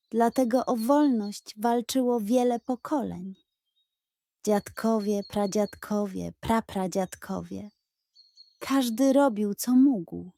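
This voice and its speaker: A woman's voice: a little high, mellow, no room sound, studio quality. A woman voicing a kindly, patient old grandfather who explains things earnestly in a children's story. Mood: solemn, fond.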